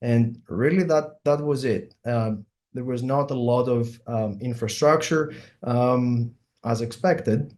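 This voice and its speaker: A middle-aged man from Greece with a Greek accent, a surgeon giving an online symposium talk and often pausing with ums and uhs. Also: mid-range voice, darkish tone. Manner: hesitant, many ums and uhs. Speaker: plastic surgeon presenting.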